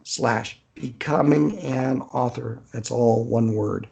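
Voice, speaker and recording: Older guy on a podcast, raspy creaky voice, kinda rambles and thinks out loud. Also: mid-range voice, takes it slowly. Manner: hesitant, rambling, thinking aloud. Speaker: author guest on a podcast. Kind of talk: casual unscripted interview chat.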